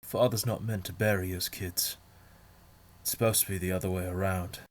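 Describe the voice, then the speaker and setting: A man's voice: low voice, fairly even intonation, normal speed, lightly acted. A man playing a weary, protective leader of survivors in an amateur audio drama. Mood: somber, weary. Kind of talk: fan audio drama acting.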